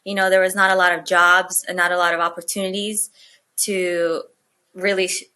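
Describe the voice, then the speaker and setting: Bright-voiced woman, chatty. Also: fairly low pitch, level tone, normal speed, clean tone. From Kazakhstan. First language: Russian. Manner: chatty, full of "like" and "you know" fillers. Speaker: guest rapper. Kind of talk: casual interview storytelling.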